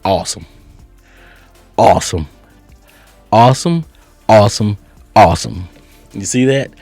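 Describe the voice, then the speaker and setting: A man's voice: lowish voice, lively intonation, measured pace, gravelly, a little mellow, dry recording, faint music behind. A man hosting a casual step-by-step tutorial, chatty and instructional, often checking in with short tags. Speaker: host of software tutorial channel.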